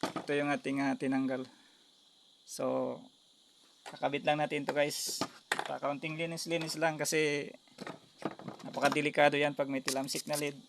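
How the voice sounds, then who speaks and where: A Filipino man talks viewers through a hands-on repair in a casual, halting way. His voice is gravelly with very little rise and fall in pitch. The amateur recording has clear background noise. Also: level tone. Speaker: electronics repair tutorial host. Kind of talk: casual hands-on step-by-step demonstration. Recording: noisy amateur recording with clicks.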